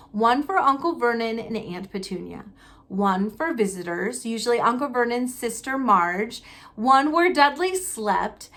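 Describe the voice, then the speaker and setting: A woman narrating a children's novel in an expressive read-aloud style. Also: a little high.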